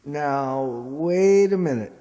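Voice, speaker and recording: A man's voice: on the high side, animated, takes it slowly, dark tone, a little hiss or hum. Man playing a swooping, slangy American wise guy. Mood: sardonic. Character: slangy, scheming small-time gambler boyfriend. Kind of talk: old-time radio comedy acting. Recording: echoey hall recording.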